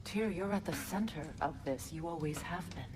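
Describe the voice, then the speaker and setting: An actress playing a proud, resolute warrior goddess in a video game, earnest and deliberate. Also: female voice, sits low, music in the background, close to an everyday voice. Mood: earnest, encouraging. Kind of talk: dramatic video game acting.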